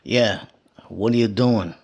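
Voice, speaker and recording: A man's voice: fairly low pitch, takes it slowly, a little mellow, slightly rough, exaggerated voice. A man playing a furtive, sly racetrack tout in old-time radio comedy style, confidential, clipped and streetwise.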